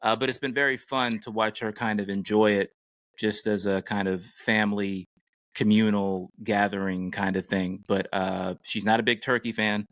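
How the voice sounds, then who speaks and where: A middle-aged man with an American accent talks casually as a podcast host. His voice sits fairly low, and the sound is dry, with no room echo. The delivery is conversational and informal. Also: little pitch movement, moderate pace, a bit bright. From United States.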